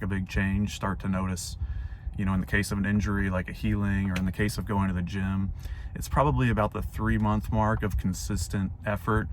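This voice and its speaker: A young man with a hoarse, fading voice, talking casually and reflectively to camera from his parked car with plenty of fillers. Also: sits low, slight background noise. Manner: rambling, reflective, many fillers. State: hoarse, voice going out. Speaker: vlogger.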